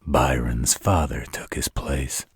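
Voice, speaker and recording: Very deep, gravelly supernatural tough guy played by a man. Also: steady tone, no room sound, studio quality, full character voice. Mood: grim, confiding. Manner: gruff, deliberate, slangy. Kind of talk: roleplay audio drama addressed to the listener.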